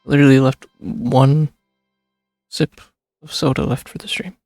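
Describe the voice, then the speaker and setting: A man's voice: mid-range voice, little pitch movement, a little slow, creaky, a little mellow, no echo. A young man streaming a game, chatting casually and giving offhand commentary close to the microphone. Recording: close dry mic, game music behind.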